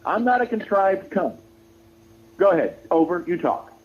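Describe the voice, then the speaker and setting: Phone-like voice of a high-pitched, combative man. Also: lively intonation, moderate pace. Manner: combative, insulting, repeats himself insistently. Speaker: caller to a livestream show. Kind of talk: argumentative live phone-in banter. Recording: tinny phone-like livestream call.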